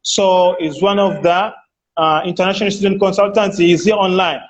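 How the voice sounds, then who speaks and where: A man's voice: high-pitched, steady tone, fairly quick, low-quality recording. A man hosting a casual live online chat and interview, talking with many fillers and restarts, and cutting in with eager interjections. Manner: enthusiastic interjections.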